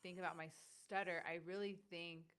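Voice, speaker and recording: A woman's voice: fairly low pitch, steady tone, a little fast, clean tone. Young woman host who stutters. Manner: warm, chatty, stutters at times, many "like" fillers. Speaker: podcast host. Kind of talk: casual interview.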